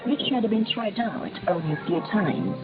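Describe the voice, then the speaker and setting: A woman's voice: mid-range voice, very animated, normal speed. A woman radio announcer reading scripted state broadcast narration in a formal, reverent manner over shortwave radio. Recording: narrowband shortwave radio, muffled, music behind. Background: music.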